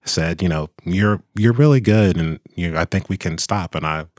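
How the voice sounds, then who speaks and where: A middle-aged man with a very deep voice talks openly as an interview guest, thoughtful and candid, often pausing, restarting and laughing. The sound is close and dry, with no room echo. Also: darkish tone. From Kentucky, US. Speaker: author and attorney, interview guest. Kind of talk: casual interview conversation.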